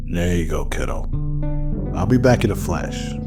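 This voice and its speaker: A man playing a steady, wry detective and protective father in an audio drama, speaking calmly. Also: low-pitched, moderate pace, loud music behind the voice. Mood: fond, teasing. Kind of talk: amateur audio drama acting.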